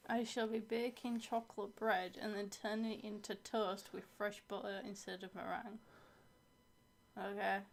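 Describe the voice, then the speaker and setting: A woman's voice: medium pitch, flat delivery, moderate pace, gravelly. A woman co-hosting a livestream with her partner, chatting casually, giggly and teasing. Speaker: co-host, host's partner.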